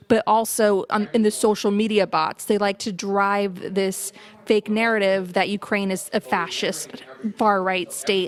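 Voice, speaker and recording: A woman hosting a political podcast, explaining at length with passionate emphasis. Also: medium pitch. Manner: passionate, emphatic, talks in long run-on explanations. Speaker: podcast host.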